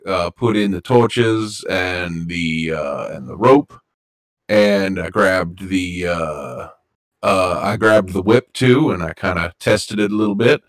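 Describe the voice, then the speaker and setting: A man playing a tabletop role-playing game, describing his character's actions to the game master with many halting fillers. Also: low-pitched.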